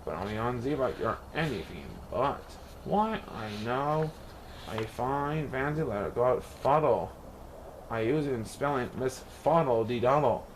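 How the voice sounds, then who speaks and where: A man's voice: medium pitch, animated, measured pace, a little hiss or hum, poor audio. A man reading a children's picture book aloud in rhyme, with theatrical, playful emphasis. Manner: theatrical, playful rhyming delivery with exaggerated emphasis. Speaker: storybook reader.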